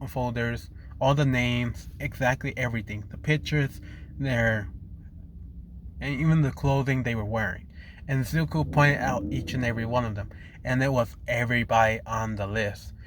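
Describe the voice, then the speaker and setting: A man's voice: medium pitch, fairly even intonation, slight background noise. A man narrating a fan story off the cuff while losing his voice, stopping and correcting himself often. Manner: halting, frequent restarts and self-corrections.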